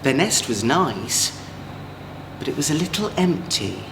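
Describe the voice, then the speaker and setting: A man with an English accent, a drag queen storyteller, reading a children's story in an expressive, gentle way. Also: on the high side, a little slow. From Manchester, England. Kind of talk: children's storybook read-aloud. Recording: echoey room, clear background noise.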